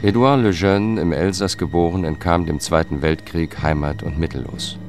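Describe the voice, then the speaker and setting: An actor playing a sober, determined justice investigator who narrates a radio drama in a measured, matter-of-fact way. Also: male voice, low-pitched, music in the background, lightly acted. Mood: sober narrating. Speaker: first-person narrator.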